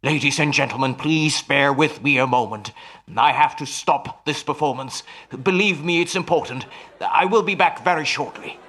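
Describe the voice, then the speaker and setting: A man playing a grave, earnest stage telepathist in a radio play, with a deliberate, courteous and dramatic manner. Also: on the high side, sharp tone. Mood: urgent, grave.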